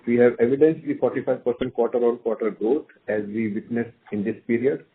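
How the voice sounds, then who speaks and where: Man on a noisy phone line. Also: medium pitch. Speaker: company executive giving closing comments. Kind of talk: formal closing remarks. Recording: noisy narrow phone line, slight hiss.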